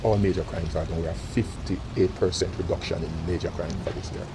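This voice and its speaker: A police official giving a formal briefing of crime figures in a measured, factual way, with short filler pauses. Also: male voice, sits low, fairly even intonation, music in the background.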